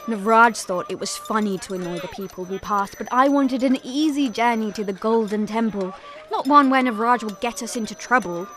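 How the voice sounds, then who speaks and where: A woman's voice: on the high side, moderate pace, a bit bright, lightly acted. A woman playing an earnest young pilgrim who narrates a family tragedy in a scripted audio drama.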